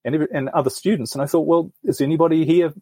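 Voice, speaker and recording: Husky new guy, middle-aged, thinking out loud telling his story, dry close mic. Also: on the high side, fairly even intonation, rapid-fire, dry recording. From New Zealand. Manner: earnest, thoughtful storytelling with frequent restarts. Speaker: podcast guest. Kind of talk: reflective life story in an interview.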